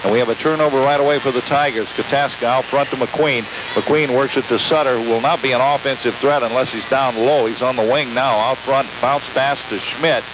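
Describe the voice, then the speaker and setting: A man's voice: medium pitch, fairly even intonation, a little fast, hardly any pauses. A man calling live basketball play-by-play on the radio in clipped, descriptive phrases. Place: courtside in a large sports dome. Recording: hissy, noisy amateur tape of radio broadcast.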